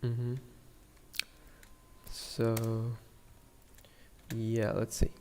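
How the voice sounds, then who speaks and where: Slow, gravelly Sri Lankan man in reverb. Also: mid-range voice, monotone, noisy background. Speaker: 3D animation tutorial streamer. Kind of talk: casual live tutorial, thinking aloud.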